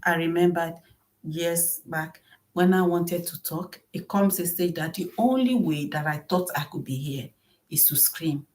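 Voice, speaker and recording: A middle-aged woman with a soft, somewhat low voice hosts a solo talk on marriage. She speaks earnestly and keeps circling back to her main points, repeating phrases to stress them. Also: a little slow. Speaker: podcast host.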